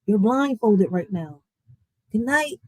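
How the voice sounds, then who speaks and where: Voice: mid-range voice, animated, slow, darkish tone, no room sound. A vlogger from the United States giving casual commentary straight to viewers, preaching and urging the audience.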